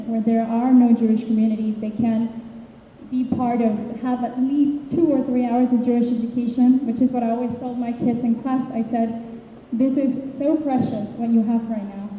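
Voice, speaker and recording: A woman from Peru, a departing Hebrew school teacher, giving an emotional farewell speech with many hesitant restarts. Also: fairly high pitch. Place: hall. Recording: echoey hall, tinny phone-like sound, faint hiss.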